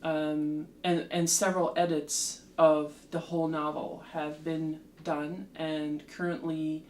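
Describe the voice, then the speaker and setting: Slow, measured woman. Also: low voice, little pitch movement, darkish tone. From Slovakia. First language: Slovak. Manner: measured, with frequent ums and restarts. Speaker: translator and lecturer, guest. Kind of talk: thoughtful interview answers. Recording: roomy echo with faint background hum.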